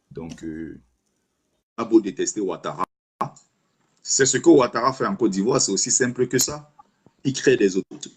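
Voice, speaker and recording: A man's voice: low voice, husky. A man hosting a live stream, giving opinionated commentary and arguing his points while speaking straight to his listeners. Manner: argumentative, rhetorical, addresses listener directly. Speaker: livestream host taking callers.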